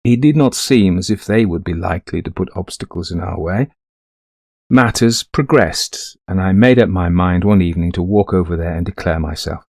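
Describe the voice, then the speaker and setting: An actor playing a middle-aged, greying widower in a ghost story, confiding an eerie haunting to a friend in genteel, old-fashioned phrasing. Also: male voice, low voice, normal speed, vocal fry, darkish tone, dry recording, studio quality. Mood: fond, reminiscent. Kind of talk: dramatised first-person ghost story narration.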